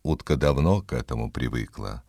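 A man narrating an animal tale as a theatrical, expressive storyteller, reading from a script. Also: rumbling low, normal speed, no room sound, studio quality.

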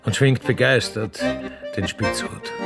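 A man's voice: lowish voice, unhurried, creaky, music in the background. A man giving scripted narration for a radio play.

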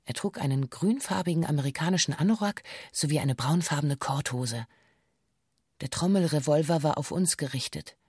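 A female bank employee delivers a formal witness statement in a radio play. Her voice sits fairly low and she stays precise and matter-of-fact. The recording is close and dry, with no room around it. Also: normal speed, a little mellow, slightly rough, dry recording. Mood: sober, factual. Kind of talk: scripted radio play monologue in stiff police-statement language.

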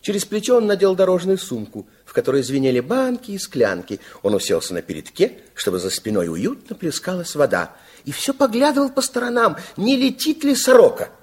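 A man narrating a children's radio play in an expressive storybook style, with dramatic pauses. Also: high voice, breathy edge. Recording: old 1960s radio recording with music.

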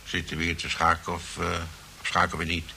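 A deep, gravelly gentleman of radio drama, boastful and easily riled. He needles his rival in formal speech, repeating words and blustering, with a forceful edge and slight recording noise. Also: completely flat delivery, brisk, full character voice. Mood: testy, dry. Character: peevish, boastful male chess player, needling his rival. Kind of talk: radio play acting in Dutch. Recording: noisy old radio play recording.

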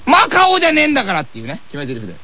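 A man's voice: very high voice, huge pitch swings, very fast, sharp tone. A man co-hosting a radio show with casual comic banter, eager and agreeable in manner.

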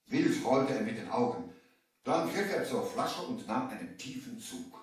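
A man's voice: mid-range voice, sing-song, measured pace. An actor playing a grave traveler who tells of his own ordeal, solemn and theatrical, in a radio play. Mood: tense, grave. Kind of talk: dramatic storytelling in a radio play. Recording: echoey distant old vinyl record.

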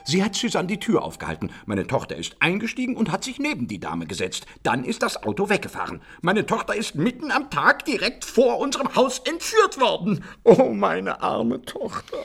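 A man's voice: sits high, huge pitch swings, moderate pace, creaky, few pauses, fairly bright tone, husky, heavily acted. An actor playing an anxious, stubborn father in a German radio play, speaking in an agitated, emotional way. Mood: distressed.

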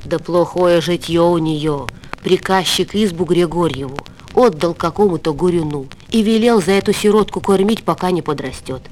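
Man acting a high-pitched, blunt gossipy villager. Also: moderate pace, darkish tone, a little hiss or hum, lightly acted, poor audio. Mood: matter-of-fact. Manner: folksy, matter-of-fact, complaining. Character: gossipy, blunt village neighbours and grumbling housewife. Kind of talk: storybook fairy tale reading.

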